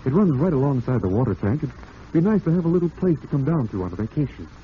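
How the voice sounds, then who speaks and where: A man's voice: medium pitch, very animated, quick pace, lightly acted. Guy acting a slick murderous husband in an old radio show, swingy delivery, bit of hiss, darkly ironic and fake cheery. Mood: falsely cheerful. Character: scheming, smooth-talking husband, a cold-blooded murderer. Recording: dull, noisy vintage radio recording.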